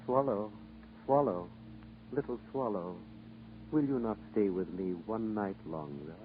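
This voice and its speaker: An actor playing a compassionate, sorrowful statue prince in a 1940s radio drama, solemn and pleading. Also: male voice, fairly low pitch, unhurried, phone-quality sound, slight background noise, close to an everyday voice. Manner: solemn, pleading, repeats himself. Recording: 1940s radio broadcast over music.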